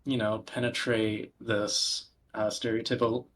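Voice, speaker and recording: A man giving casual podcast commentary, explaining things in a wordy, halting way. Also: lowish voice, flat delivery, a bit bright. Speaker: podcast host presenting video games. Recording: music playing behind the voice. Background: background music.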